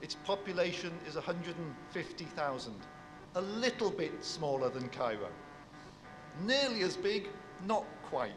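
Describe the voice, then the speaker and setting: Man, university speaker, big voice, slightly high, long hall echo, music underneath. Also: big pitch swings. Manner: warm, measured address to an audience, big voice. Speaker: university professor giving a speech. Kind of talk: formal public speech.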